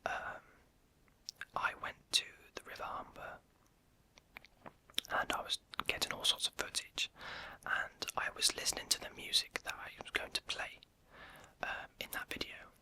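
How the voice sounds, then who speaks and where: Bright whispering YouTuber, soft ASMR Q&A. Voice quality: unvoiced whisper throughout. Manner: hesitant and rambling, many "um"s, self-correcting. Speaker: YouTube creator answering viewer questions.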